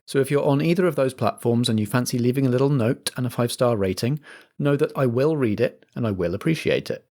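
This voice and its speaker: A man with a medium-pitched voice speaks as a podcast compere. He delivers announcements in chatty, theatrical patter, addressing listeners directly. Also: expressive, quick pace, darkish tone. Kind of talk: friendly programme announcements read to listeners.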